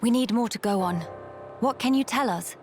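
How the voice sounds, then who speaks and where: A woman's voice: a little high, normal speed, soft background music, natural voice. A woman playing a dignified, earnest young noblewoman in a video game, with formal and precise speech. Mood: inquiring.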